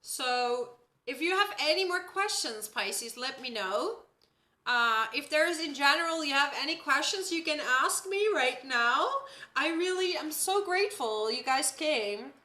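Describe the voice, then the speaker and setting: A woman's voice: high-pitched, lively intonation, unhurried, bright, forceful tone, roomy sound. A woman from the Netherlands, a native Dutch speaker, hosting a casual live-stream astrology reading at home and often checking in with her viewers by asking "right?".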